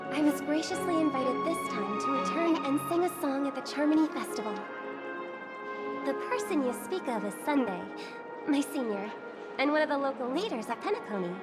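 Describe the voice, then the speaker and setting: An actress playing a gracious, gentle young female singer who speaks with poised, polite formality in a video game. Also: high-pitched, lively intonation, a little fast, loud music behind the voice. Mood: gracious, apologetic. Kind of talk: scripted video game dialogue.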